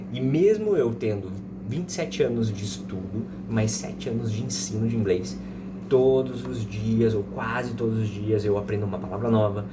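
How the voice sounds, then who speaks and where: Casting: Brazilian man, thirties, didactic tutor who repeats examples, recorded away from the mic in heavy noise. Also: lowish voice. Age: 30s. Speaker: English teacher. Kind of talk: informal explanatory language lesson with slow example sentences. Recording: distant mic, loud background noise.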